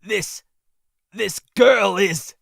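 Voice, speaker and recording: A man voicing a solemn, gentle, massive teenage girl fighter in an anime fan dub, speaking gravely and formally. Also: sits high, drawn-out words, exaggerated voice. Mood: shaken.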